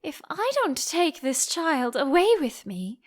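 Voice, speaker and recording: A woman's voice: high voice, sing-song, takes it slowly, pitched above the performer's own voice, exaggerated voice. A woman voicing a polite, earnest storybook little girl with a prim manner, eager to show what she knows. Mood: earnest, concerned.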